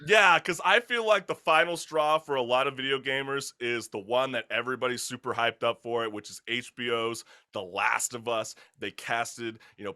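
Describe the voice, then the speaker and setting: A man's voice: medium pitch, pushed and bright, smooth. A man hosting a talk show in an opinionated, conversational way, asking emphatic rhetorical questions with casual slang and mild swearing.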